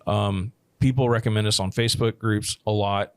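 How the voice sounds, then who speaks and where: Laid-back low-voiced man, casual podcast chat, loose rambling delivery, dry close sound. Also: fairly even intonation, normal speed, clean tone, no room sound. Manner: rambling, many restarts and "you know". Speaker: production company owner. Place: living abroad, working from Thailand.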